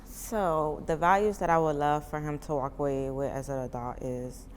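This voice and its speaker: A young mother in her twenties from Miami answers interview questions in a loose, chatty way, dropping "like" into almost every sentence. Her voice sits low for a woman. A long reverb trails each phrase, with a faint hiss of noise underneath. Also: normal speed. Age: 20s. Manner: chatty, many "like" fillers, relaxed informal speech. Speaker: parent giving a testimonial.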